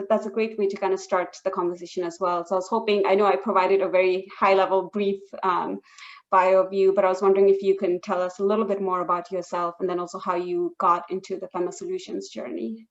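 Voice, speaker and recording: A woman hosts an online session in a friendly, loose way, dotting her talk with fillers. Her voice sits at a middle pitch. The video-call sound is a little dull. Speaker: webinar host.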